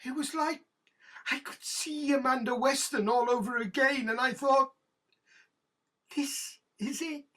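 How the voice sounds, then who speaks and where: An actress playing an embittered, guilt-ridden middle-aged senior police detective with a British accent, halting and volatile in a naturalistic audio drama. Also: female voice, high voice, fairly even intonation, fairly bright tone. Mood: anguished confession. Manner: halting, bitter, emotionally volatile.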